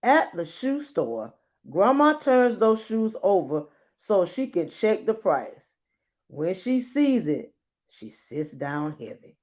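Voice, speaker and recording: Female storybook reader, unhurried and highly varied in pitch, expressive emphasis for young listeners. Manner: expressive, dramatic emphasis with deliberate pauses. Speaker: read-aloud host. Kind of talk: children's storybook read-aloud with brief asides.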